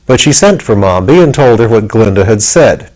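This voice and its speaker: A man's voice: lowish voice, sing-song, moderate pace, a little mellow, low-quality recording. A man reading aloud as the narrator of a storybook, in scripted narration. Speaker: narrator, audiobook reader.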